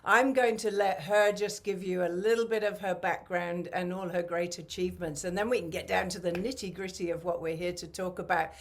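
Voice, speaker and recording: A woman hosting a podcast interview, talking in a rambling, excitable way full of fillers. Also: lowish voice. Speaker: podcast host.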